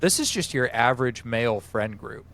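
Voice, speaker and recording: A man in his twenties acting as game master, calmly walking players through setting up their characters. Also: mid-range voice, normal speed, vocal fry, a little hiss or hum. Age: 20s. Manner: organized, explains rules step by step. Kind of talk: running a tabletop session zero.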